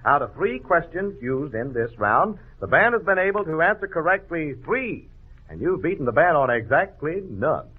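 A man's voice: on the high side, very animated, normal speed, breathy edge. A man announcing a radio quiz show in formal, scripted 1940s patter. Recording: lo-fi old radio broadcast, phone-like sound.

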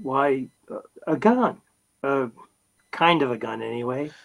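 A man's voice: medium pitch, animated, measured pace, creaky, poor audio. A man playing a bashful, good-natured young husband in a radio comedy, hesitant and stammering. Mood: sheepish. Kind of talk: old-time radio comedy acting.

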